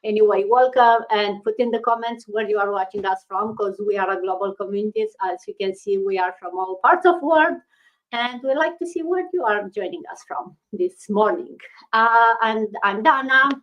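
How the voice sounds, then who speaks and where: A woman's voice: medium pitch, moderate pace, lo-fi sound. A middle-aged woman hosting a live online panel over a video call, stopping often to search for words. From Romania. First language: Romanian. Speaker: host.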